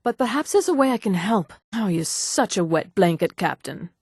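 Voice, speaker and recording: A woman voicing a haughty, refined high elf townswoman in a video game, with precise, polished, condescending delivery. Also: lively intonation, moderate pace, darkish tone, breathy edge, no echo, clean studio sound. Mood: smooth, confiding. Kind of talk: scripted game dialogue.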